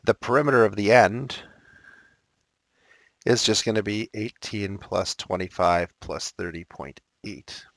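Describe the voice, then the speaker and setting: A man teaching a recorded math lesson, thinking aloud and correcting himself as he works problems, his voice slightly raspy. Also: on the low side, measured pace, no room sound.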